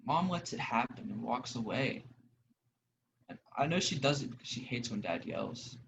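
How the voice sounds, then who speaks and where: A man's voice: medium pitch, very animated, moderate pace, a bit bright. Young man acting hesitant grieving gamer, noisy audio. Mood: troubled, weary. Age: young adult. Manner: hesitant, earnest. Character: Grayson, grieving, lonely young male gamer. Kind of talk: student one-act play acting. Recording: dull audio with clear noise.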